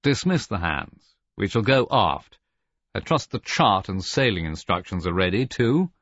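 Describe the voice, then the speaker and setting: A man's voice: on the low side, big pitch swings, measured pace, a bit bright, breathy edge, dry recording, muffled recording, exaggerated voice. Man as stern, clipped naval officer. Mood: stern, commanding. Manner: clipped, commanding. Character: stern, cool male senior naval officer.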